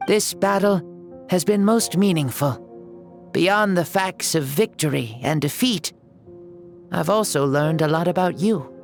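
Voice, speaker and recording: An ancient hero and leader of his people in a fantasy video game. He speaks with noble formality and measured, reverent weight, yet keeps a youthful spirit. Music plays behind him. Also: on the high side, lively intonation, creaky. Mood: solemn, admiring. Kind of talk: dramatic video game voice acting.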